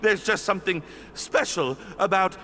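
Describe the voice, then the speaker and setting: A man's voice: high voice, expressive, moderate pace, fairly bright tone, a little hiss or hum. A man voicing an anxious, polite young man in a video game, hesitant and stammering. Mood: flustered. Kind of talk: video game character acting.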